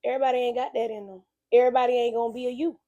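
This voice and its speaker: A woman's voice: on the high side, fairly even intonation, rapid-fire, warm tone, lo-fi sound. A woman, sharing an earnest, preachy faith message in casual talk. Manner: earnest, preachy, conversational with emphatic repetition. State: slightly raspy voice from illness. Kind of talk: casual spoken reflection.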